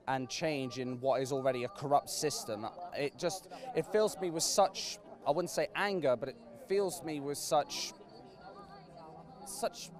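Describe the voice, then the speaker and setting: A man from Norwich answering street interview questions earnestly, pausing to search for words. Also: mid-range voice. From Norwich, England. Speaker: interviewee, rally supporter.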